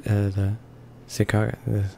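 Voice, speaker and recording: A man reading a visual novel aloud as a let's play narrator, haltingly, stumbling and repeating words. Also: lowish voice, monotone, gravelly, darkish tone, a little hiss or hum. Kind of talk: read-along of a visual novel. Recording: voice-over with game music behind.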